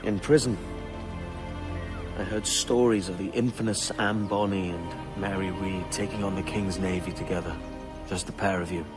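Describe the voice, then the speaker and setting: A man plays a rugged pirate captain in a game drama, low in pitch and resolute. He speaks plainly and broodingly, with loud music running behind him. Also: steady tone, normal speed, close to an everyday voice. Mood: somber, gentle. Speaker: ship's captain. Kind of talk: video game cutscene acting.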